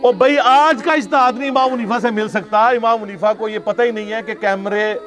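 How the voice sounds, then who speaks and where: A man's voice: high voice, steady tone, moderate pace, fairly bright tone. A Pakistani man giving an informal religious lecture, arguing in a sarcastic, conversational way with rhetorical questions. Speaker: Islamic preacher and lecturer. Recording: music playing behind the voice. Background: music.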